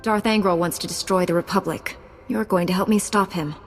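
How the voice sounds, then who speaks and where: Commanding warrior in long reverb. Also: female voice, lowish voice, steady tone, brisk, soft background music. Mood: firm, commanding. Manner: firm, curt, imperious. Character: hard, commanding young female warrior knight. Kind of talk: dramatic video game acting.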